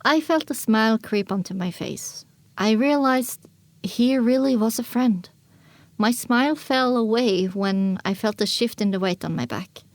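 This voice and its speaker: A woman plays a young filly pony narrating her own journey in a dramatic audiobook. The voice is fairly high and recorded very dry, with a reflective, scripted delivery. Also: unhurried, no echo. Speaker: first-person narrator.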